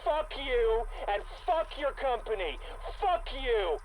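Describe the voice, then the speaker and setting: A man's voice: very high-pitched, big pitch swings, slow pace, dull audio, heavily acted. A man playing a bitter, betrayed corporate executive recording an angry audio log for a video game. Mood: desperate rage. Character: bitter betrayed corporate executive, male, raging at his board. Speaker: company executive. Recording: faint ambient noise behind the voice.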